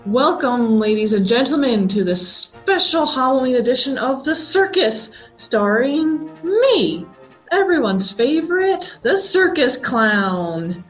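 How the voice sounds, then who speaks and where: A woman's voice: high voice, sing-song, slow pace, faint music behind, pitched above the performer's own voice, heavily acted, rough recording. A woman voicing a gleeful, sinister circus clown with a theatrical, mock-cheerful showman's delivery. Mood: booming showmanship.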